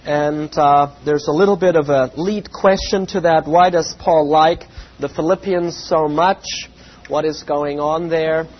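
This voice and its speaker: A man with a slightly raspy voice giving an evening Bible lesson, measured and full of "uh" fillers, with a reverent tone when he prays. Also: fairly high pitch, fairly even intonation. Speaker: Bible teacher. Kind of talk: evening Bible lecture with prayer. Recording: dull lo-fi with faint hum.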